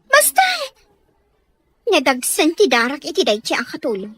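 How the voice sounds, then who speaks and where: Resentful young Ilocano daughter, played by a woman: very high, swooping voice, podcast drama, hard-drinking rebel. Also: very animated, moderate pace, sharp tone, no echo, heavily acted. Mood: bitter, aggrieved. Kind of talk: comedy-drama podcast acting.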